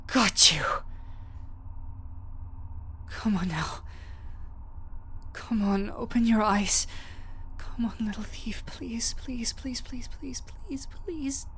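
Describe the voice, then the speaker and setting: A woman playing a gentle, desperate doctor in a fantasy audio roleplay, with halting, emotive, breathy delivery. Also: fairly high pitch, full character voice. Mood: anxious pleading. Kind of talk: dramatic audio roleplay acting.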